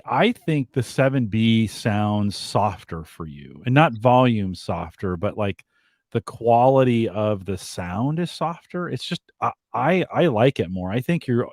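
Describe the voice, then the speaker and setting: A man with a deep voice co-hosting a podcast, speaking hesitantly with fillers and reading out listener comments. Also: no echo, clean studio sound. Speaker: podcast co-host. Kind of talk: casual tech chat.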